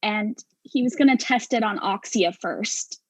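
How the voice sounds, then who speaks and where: A woman's voice: mid-range voice, measured pace, dry recording, natural voice, poor audio. A woman playing a blunt, knowing crew member in a comic mystery, with a dry manner.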